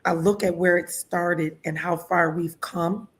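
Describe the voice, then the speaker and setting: A woman's voice: fairly low pitch, fairly even intonation, unhurried. A woman in her sixties hosting an interview show, chatty and laughing often. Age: 60s. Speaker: host. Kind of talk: casual interview talk.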